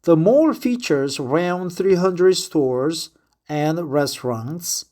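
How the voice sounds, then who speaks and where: A man's voice: a little high, animated, slow pace, a little mellow. A man in his fifties from Korea, a native Korean speaker with a Korean accent, talking in a casual spoken diary and reading aloud, hesitant with many filler sounds. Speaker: vlogger.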